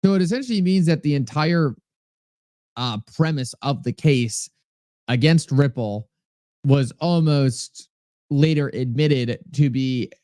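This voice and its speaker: Casual male livestream host with a New England accent, smooth clean voice, dry close sound, friendly chat-reading delivery. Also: on the high side, measured pace, mellow, no room sound. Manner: chatty, reads viewer comments aloud and thanks them by name.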